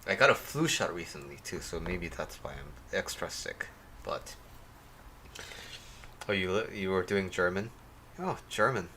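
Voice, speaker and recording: A man hosting a casual livestream, his voice a little off from a sore throat, rambling with many pauses and talking to viewers by name. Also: sits low, lively intonation, sharp tone, a little hiss or hum. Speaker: life coach hosting a livestream.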